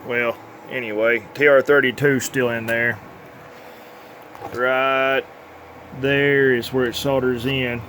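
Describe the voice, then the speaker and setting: A man's voice: medium pitch, little pitch movement, slow pace, pauses often, a bit bright, a little hiss or hum. A man giving an informal, plainspoken workbench demonstration as a radio repair technician. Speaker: CB radio repair technician presenting his tune-up work.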